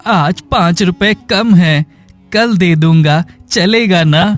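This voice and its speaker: Male actor as humble poor cartoon villager: high-pitched, unhurried, earnest, meek, music behind. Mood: meek, resigned.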